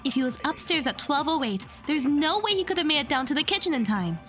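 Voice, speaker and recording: Woman acting an earnest, high-voiced young defender. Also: expressive, quick pace, fairly bright tone, faint music behind. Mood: determined. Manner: earnest, insistent. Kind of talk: anime-style audio drama.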